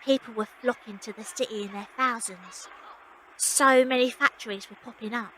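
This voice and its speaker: A woman's voice: a little high, fairly even intonation, normal speed, pushed and bright, slight background noise, rough recording. A young woman playing a plucky Victorian circus runaway who narrates her own story. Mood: reflective, wry. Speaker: lead actress and narrator. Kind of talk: first-person audio drama narration.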